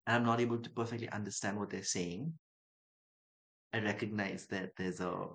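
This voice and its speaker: A man's voice: on the low side, fairly even intonation, normal speed, fairly bright tone. A young man from Dubai and Chennai, a writer guest in an interview, talking in a reflective, musing way. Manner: thoughtful, musing, acts out quoted voices.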